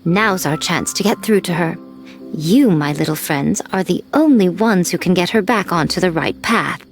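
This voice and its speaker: A woman's voice: lowish voice, moderate pace, close to an everyday voice. A woman voicing a sly, smug fox-woman shrine maiden in an anime game, with a silky, teasing, condescending manner. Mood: smug, knowing. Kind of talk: anime video game acting. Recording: voice over background music.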